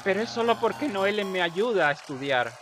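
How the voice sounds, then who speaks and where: A vain, insecure young bird from a video game fandub, acted out by a man. The voice is highish and very animated, with theatrical, self-important halting delivery. Music plays behind it. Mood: dejected, confessional. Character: vain, dramatic young male blue bird, insecure know-it-all.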